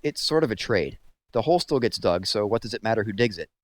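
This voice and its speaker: A man's voice: fairly low pitch, animated, fast, natural voice. A man voicing an earnest teenage boy, reasoning and mildly defiant, in a storybook. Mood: defensive.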